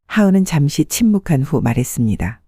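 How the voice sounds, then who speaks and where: A woman in her sixties narrating an audiobook story in the first person, calm and steady. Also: sits low, brisk, slightly rough, no room sound, clean studio sound.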